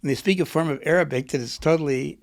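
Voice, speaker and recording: A man's voice: a little high, fast talker. A middle-aged man, an author and poet, being interviewed and thinking aloud with a reflective, rambling manner. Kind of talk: conversational interview.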